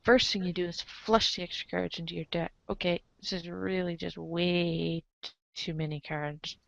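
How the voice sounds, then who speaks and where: A woman's voice: lowish voice, flat delivery, moderate pace, creaky, a bit bright, slight background noise, poor audio. A woman reading a comic aloud as the show's narrator, theatrical and playful, slipping into casual asides. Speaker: narrator of a comic read-along show.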